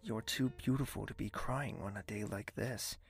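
A man playing a mysterious, suave admirer in a romantic fantasy audio drama, gravelly, courtly and flirtatious. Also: on the low side, normal speed, no room sound. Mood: gently teasing. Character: mysterious suave male admirer, gentle and flattering.

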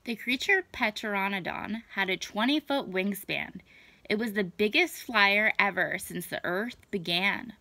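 A young adult woman reading a picture book aloud to children, expressive and deliberate, stretching words for emphasis. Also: medium pitch, dry recording. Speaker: children's story time reader. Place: small indoor room at home.

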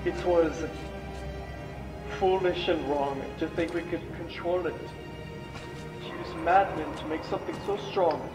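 A man's voice: on the high side, fairly even intonation, a little slow, pauses often, close to an everyday voice. Man acting a grave old scientist, echoey. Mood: grave, explaining. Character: weary guilt-ridden old male scientist, grave, confessing. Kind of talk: dramatic video game monologue, amateur voice acting. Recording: echoey, distant voice over game music.

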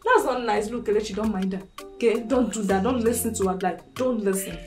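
A woman's voice: mid-range voice, fairly quick, soft background music, lightly acted. An actress with a Nigerian accent playing a caring young woman friend who comforts and chides. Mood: concerned. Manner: concerned, chiding.

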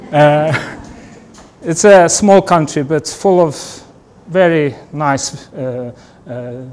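A middle-aged man lecturing with a Portuguese accent, his voice sitting a bit high for a man. He talks in an unhurried, self-deprecating way, with light laughs between points, in a big lecture hall. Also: a little mellow. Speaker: guest lecturer. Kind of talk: university lecture.

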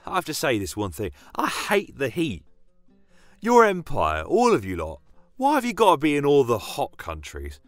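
A man's voice: medium pitch, huge pitch swings, normal speed, no echo, faint music behind, voice pushed up. A man voicing a blunt, joking soldier with a colloquial, matey manner in a fantasy audio story. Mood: comic grumbling.

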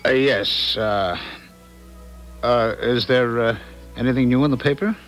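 An actor playing a smug, callous married philanderer in a 1970s radio drama, patronizing and cajoling. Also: male voice, medium pitch, unhurried, faint music behind, natural voice. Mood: evasive. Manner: patronizing, cajoling, hesitant when cornered.

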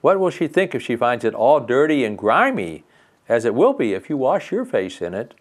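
A man's voice: mid-range voice, very animated, normal speed. An older man voicing a fretful buttercup flower in a fairy tale. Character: fretful flower saving dew for a queen.

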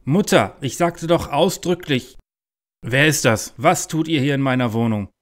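A man's voice: medium pitch, expressive, measured pace, slight background noise, natural voice. A man playing a paranoid, agitated adult son obsessed with conspiracies in a parody detective audio play. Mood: irritated. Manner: agitated, overblown, threatening.

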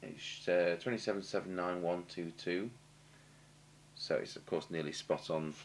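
A British man with a low voice talks through a hands-on technical job. He is matter-of-fact and halting, thinking aloud as he goes. A faint noise and hum sit behind him. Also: fairly even intonation. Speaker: radio repair technician demonstrating. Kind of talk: unscripted technical demonstration commentary. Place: indoor workshop at a bench. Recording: clear background noise and hum.

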